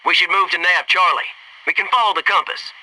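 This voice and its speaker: A man's voice: high voice, steady tone, a little fast, bright, forceful tone, distant mic, slight background noise, poor audio. An actor playing a cocky, wisecracking male Navy SEAL squadmate in a military video game, talking in brash, punchy banter. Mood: focused. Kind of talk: scripted video game squad radio lines.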